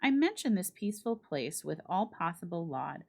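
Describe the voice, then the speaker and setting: Female narrator, low register, for dramatic reading of classic fiction; measured and expressive with formal phrasing. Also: creaky. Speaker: narrator reading a classic short story aloud.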